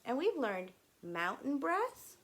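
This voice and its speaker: Slow, expressive woman reading to children. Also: high-pitched, a little hiss or hum. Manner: simple, instructive phrasing for young children. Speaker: teacher reading aloud to children. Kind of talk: expressive children's read-aloud and gentle explanation.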